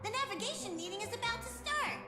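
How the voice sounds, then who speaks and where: A woman's voice: very high voice, animated, fast talker. A woman playing a small, bossy, impatient mascot in a video game. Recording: echoey hall reverb with music behind.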